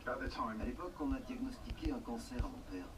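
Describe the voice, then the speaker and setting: A man calmly recounting his own story as a documentary voice-over. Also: medium pitch, brisk, over music, low-quality recording.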